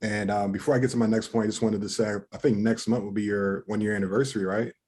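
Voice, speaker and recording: A man's voice: on the low side, fairly even intonation, quick pace, darkish tone, slightly rough. An American man from Philadelphia hosting a conversational interview. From North Philadelphia, United States. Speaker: interviewer, host.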